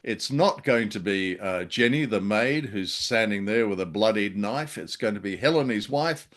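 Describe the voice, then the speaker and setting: A man's voice: on the low side, animated, normal speed, a bit bright. A man co-hosting a casual review chat, commenting on a drama. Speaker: podcast co-host.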